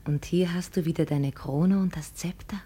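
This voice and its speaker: An actress playing a young, devoted and grieving daughter in a vintage radio drama, with a husky, often whispery voice. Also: female voice, fairly low pitch, normal speed, warm tone. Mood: tender, reassuring. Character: grieving, devoted daughter humouring her deluded father. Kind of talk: 1950 German radio drama acting.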